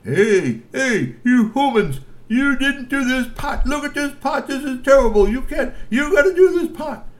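A man voicing brash, bullying young kitchen trolls in a tabletop game, shrill and pushy. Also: big pitch swings, measured pace, few pauses, full character voice. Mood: indignant.

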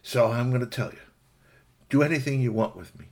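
A man's voice: medium pitch, a little fast, pitched above the performer's own voice, lightly acted. A man voicing a small, wily, frantic rabbit in a folktale, pleading and repeating his words. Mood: resigned pleading. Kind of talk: animated oral folktale storytelling.

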